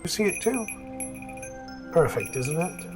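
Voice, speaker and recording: A shy, self-deprecating male technician speaks in a fairly high voice over clear music. He is earnest and gentle-tempered, picking his way hesitantly through a monologue in an urban fantasy audio drama. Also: big pitch swings, unhurried. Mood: tender, nervous. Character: mild-mannered, shy technician courting a woman, earnest. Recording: music behind the voice.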